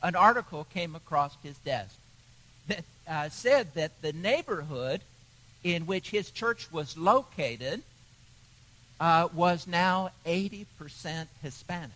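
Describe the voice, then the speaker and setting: A man preaching a sermon, telling stories in a measured way with pauses. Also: on the high side, animated, sharp tone, low-quality recording. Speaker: pastor.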